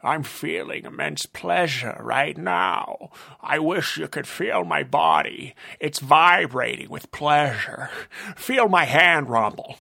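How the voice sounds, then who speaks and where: A man doing a purring smug villain, higher and creaky. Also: expressive, slow, sharp tone, no echo, clean studio sound, exaggerated voice. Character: sly pampered male club-owner villain.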